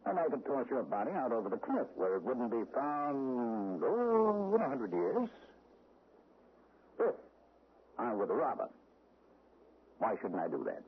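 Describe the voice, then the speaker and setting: A man's voice: mid-range voice, big pitch swings, measured pace, long pauses. An actor playing a menacing, sly American gunman who toys with people in a sardonic, mock-casual way, in 1970s radio drama style. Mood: cold menace. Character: menacing, sly gunman toying with his captive. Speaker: actor in a radio mystery play. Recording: dull, noisy old radio broadcast recording.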